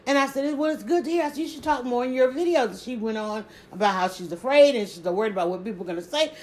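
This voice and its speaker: A woman with a Southern accent chatting casually on a live stream, talking quickly and restarting her sentences often. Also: fairly high pitch. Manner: rapid, stumbling restarts, animated emphasis. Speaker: social media creator.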